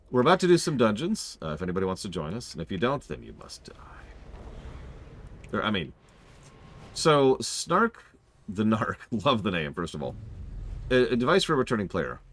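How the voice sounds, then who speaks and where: Deadpan man in his forties streaming. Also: lowish voice, normal speed, long pauses. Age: 40s. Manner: dry, deadpan joking. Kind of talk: casual game-stream chat.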